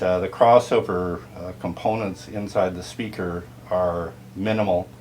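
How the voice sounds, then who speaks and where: A man with a low voice walks through technical product details in an informal demonstration. His delivery is halting and explanatory, with clear noise behind him. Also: a little mellow. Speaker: audio dealer and turntable restorer giving a product tour.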